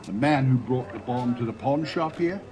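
A man playing a curious, cautious, polite agent in a science fiction audio drama, measured and skeptical. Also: mid-range voice, animated, warm tone, natural voice.

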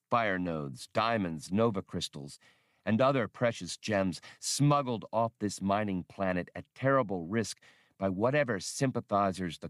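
A man's voice: fairly low pitch, no echo. A man giving a scripted audiobook narration of adventure fiction, recorded close to the microphone.

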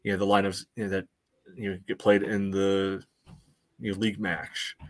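Lowish voiced guy on a sports podcast, breaking stuff down casually, lots of you knows, halting. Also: level tone. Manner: hesitant, measured, frequent "you know" hedging. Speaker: soccer podcast co-host, analyst.

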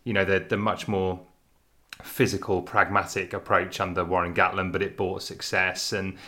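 A man's voice: low-pitched, little pitch movement, sharp tone. A man interviewing a guest, stumbling and repeating words as he frames his questions. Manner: halting, repeats words while framing questions. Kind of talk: conversational interview.

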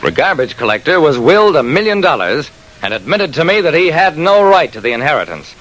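Here is a private detective telling his own case. He is terse and wry, with lively rises and falls in a fairly high male voice. It is old-time radio narration on a vintage recording. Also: brisk, low-quality recording.

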